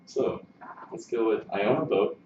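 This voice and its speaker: A young man chatting casually and haltingly as a student senator. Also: lowish voice, darkish tone. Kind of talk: casual chat. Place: meeting room. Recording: distant muffled mic, echo and noise.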